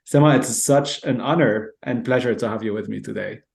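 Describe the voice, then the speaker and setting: A man's voice: mid-range voice, brisk, vocal fry, a little mellow. A man with a mid-range voice hosts a podcast. He reads his guest's introduction from a formal, prepared script. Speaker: podcast host.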